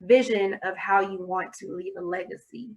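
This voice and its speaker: A woman hosts a podcast over a video call, wrapping up an interview in a casual tone. She is encouraging and talkative and sometimes stumbles over her wording. Her pitch is ordinary for a woman. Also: steady tone, normal speed, darkish tone, poor audio. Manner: encouraging, chatty, fumbles phrasing. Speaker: podcast host.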